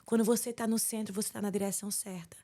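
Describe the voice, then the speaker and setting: A woman's voice: mid-range voice, steady tone, quick pace, mellow. A woman pastor in her forties from Paraíba with a Northeastern Brazilian accent, speaking earnestly as a guest in an interview. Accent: Northeastern Brazilian Portuguese. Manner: earnest, reflective, with frequent restarts. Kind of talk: conversational interview.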